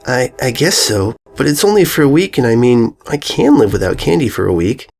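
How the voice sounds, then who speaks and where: A man's voice: mid-range voice, very animated, a little fast, creaky, darkish tone. A man voicing a timid young female pony who speaks in a hesitant, stammering, apologetic way. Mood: unsure.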